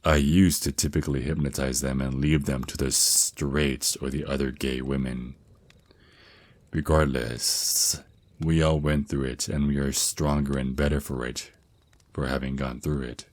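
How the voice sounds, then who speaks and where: A man's voice: rumbling low, flat delivery, measured pace, no room sound. A man playing a tender, reassuring vampire naga mentor and boyfriend in an ASMR roleplay, speaking soothingly and affectionately. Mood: reflective.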